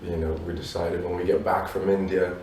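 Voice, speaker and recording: Low, flat-voiced man in an echoey room. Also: fairly quick, a little hiss or hum. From abroad, Polish parents. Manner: reflective, many "you know" fillers. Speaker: musician guest. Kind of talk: relaxed interview answers. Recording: roomy echo from a distance.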